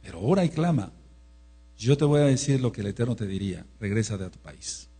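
A man preaching a sermon in an emphatic manner, rising to loud exclamations, with a husky voice. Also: on the low side, lively intonation, brisk. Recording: mains hum.

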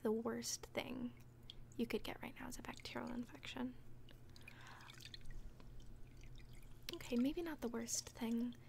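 A woman playing an obsessive, falsely gentle young caretaker in an ASMR roleplay, speaking softly and breathily with tender, halting hesitation. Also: fairly high pitch, a little hiss or hum. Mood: apologetic, careful. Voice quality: soft, breathy whisper. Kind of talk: whispered ASMR roleplay, personal attention.

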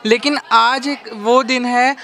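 A man's voice: very high voice, fairly even intonation, slow pace, creaky, pushed and bright. A man explaining earnestly in long run-on sentences. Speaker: newsroom colleague interviewed.

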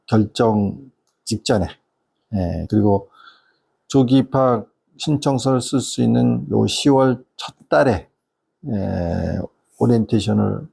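Mellow, creaky-voiced middle-aged man explaining. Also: lowish voice. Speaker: educational consultant and channel host. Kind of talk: informal explanatory talk addressed to parents.

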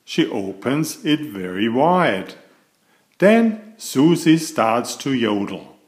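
A man reading a picture book aloud to young children in an expressive, deliberate storytelling manner. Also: medium pitch. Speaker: picture-book reader and author of children's stories.

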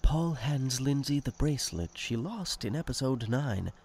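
A man's voice: medium pitch, animated, unhurried, a little mellow, slightly rough. A man narrating a radio play in the present tense with a conversational storytelling manner.

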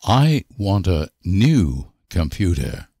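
A man reading short example sentences for language learners with deliberate, careful enunciation. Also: low-pitched, very animated, dark tone, no echo, clean studio sound. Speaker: language teacher.

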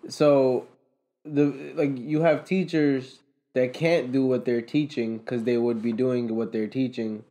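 A man's voice: medium pitch, fairly even intonation, slow pace, warm tone. A young man co-hosting a podcast, explaining at length in casual chat with many restarts and fillers, recorded by a camera across a table. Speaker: podcast co-host. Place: room with a conference table.

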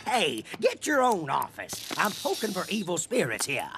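A man's voice: sits high, wildly swooping pitch, moderate pace, gravelly, background noise, full character voice. An actor playing a cranky, superstitious older witch doctor in a cartoon, theatrical and indignant. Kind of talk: cartoon voice acting.

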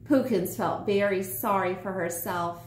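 A woman's voice: medium pitch, measured pace, darkish tone, roomy sound, a little hiss or hum. A woman in her forties, a teacher, reading a children's picture book aloud in a small room.

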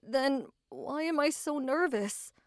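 A woman's voice: high-pitched, animated, takes it slowly, dry recording. Woman acting a proud, guarded lady knight, dry recording. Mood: wondering, nervous. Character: tough, proud female knight, guarded and self-doubting about love. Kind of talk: anime ASMR roleplay acting.

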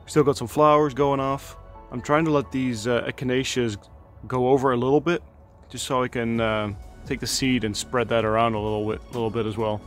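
A man's voice: mid-range voice, fairly even intonation, brisk, hardly any pauses, faint music behind. A man giving a casual, enthusiastic tour of his home garden outdoors, chatting with fillers as he films on a handheld camera. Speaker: gardening channel host.

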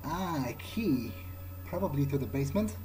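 A man's voice: fairly high pitch, animated, normal speed, darkish tone, soft background music. A man doing in-character game commentary, thinking aloud in a musing, hesitant way. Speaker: gamer playing in character.

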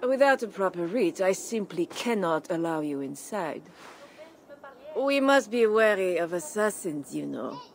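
A prim noblewoman who keeps the gate. She sounds officious, and her lively intonation swings up and down, with faint ambience behind her. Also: on the low side, measured pace, a little hiss or hum. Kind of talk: video game cutscene acting.

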